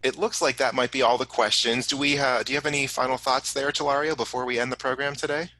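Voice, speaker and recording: A man hosting a casual live question-and-answer program, speaking haltingly as he relays audience questions. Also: medium pitch, steady tone, sharp tone, poor audio. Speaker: host of a science museum online program.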